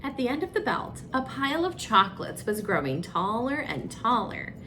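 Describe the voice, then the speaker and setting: Expressive higher-voiced woman reading a children's storybook. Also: normal speed, faint music behind. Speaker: children's story time reader. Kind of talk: read-aloud children's storybook narration.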